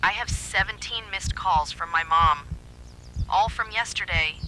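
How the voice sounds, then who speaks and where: A man's voice: high voice, unhurried, pushed and bright, a little hiss or hum, voice pushed up, exaggerated voice, low-quality recording. A man voicing a frightened young woman in a horror story, shaken and insistent. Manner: fearful, insistent.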